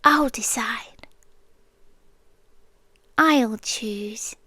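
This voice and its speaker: A woman's voice: fairly high pitch, extremely slow, bright, forceful tone, husky, no room sound, full character voice. A British woman voicing a possessive, tender but menacing winged monster girl in an ASMR roleplay, cooing breathily in half-whispers close to the microphone. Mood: soothing, possessive.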